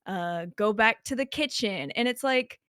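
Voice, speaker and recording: A woman's voice: a little high, expressive, a little slow, fairly bright tone, clear, resonant tone, dry recording. Chatty young woman, dry close sound. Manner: chatty, lots of "like" fillers, laughs easily. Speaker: podcast guest. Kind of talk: casual podcast conversation.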